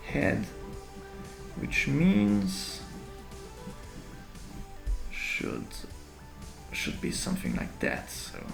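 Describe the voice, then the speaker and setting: Gravelly man, slow drawing chat. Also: a little high, reverberant. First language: German. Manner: halting, self-deprecating, thinking aloud while drawing. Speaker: artist. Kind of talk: live drawing commentary.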